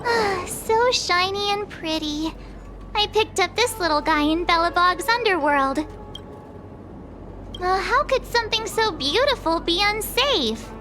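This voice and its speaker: A woman voicing a bubbly, cheerful teenage girl adventurer in a video game, perky, casual and expressive. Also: very high-pitched, measured pace, full character voice. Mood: delighted. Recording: game music behind the voice. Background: background music.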